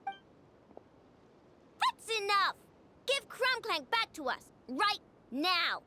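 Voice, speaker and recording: A stubborn, kind-hearted young inventor girl. Her voice is very high and bright, and she talks slowly and deliberately with lively swings in pitch, with soft game music behind her. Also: slight background noise, exaggerated voice. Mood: defiant. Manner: spirited, determined, protective. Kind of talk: game dialogue voice acting.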